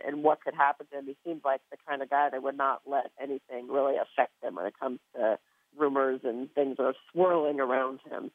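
A woman's voice: low-pitched, dry recording. An American woman hosts a sports podcast in a conversational interview style. Her voice comes through a narrow, muffled line with a dry sound and no room around it. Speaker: podcast host, sports reporter.

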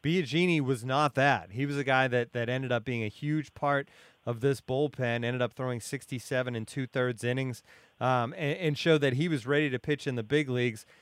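A man's voice: medium pitch, normal speed, clean tone, dry recording. A man hosting a sports podcast, talking through baseball in a conversational way.

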